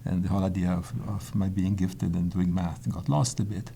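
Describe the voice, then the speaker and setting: A man's voice: low voice, steady tone, moderate pace, vocal fry, warm tone, slight background noise. An older man from Poland, whose first language is Polish, recalling his early life in a hesitant interview manner with many restarts. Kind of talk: reflective interview answers.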